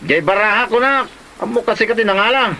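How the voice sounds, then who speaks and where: A man's voice: sits high, fast, sharp tone, a little hiss or hum, rough recording. A man with an Ilocano Filipino accent playing a cocky, idle family gambler in an amateur comedy drama. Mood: pestering.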